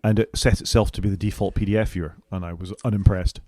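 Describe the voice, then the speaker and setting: A British man talks quickly and wryly, reading down a list and dropping in opinionated little asides. His voice sits a bit low and the sound is close and dry, with no room around it. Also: steady tone, warm tone, no echo. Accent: British English. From United Kingdom. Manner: wry, opinionated, reads down a list. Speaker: podcast co-host. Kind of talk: casual tech chat.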